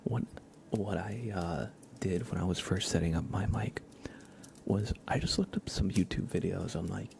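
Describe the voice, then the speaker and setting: A man hosts an ASMR livestream, chatting casually and gently in a hushed whisper, close to the microphone. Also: low voice, moderate pace, gravelly, a little hiss or hum. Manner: casual, friendly, thanking viewers. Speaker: livestream host. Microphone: close microphone with compression and limiter.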